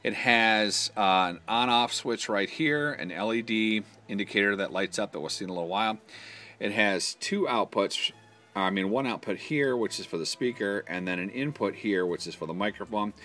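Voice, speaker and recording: A man's voice: fairly low pitch, moderate pace, bright, forceful tone. A man casually explaining a product step by step in an informal hands-on demonstration, pointing out parts as he goes.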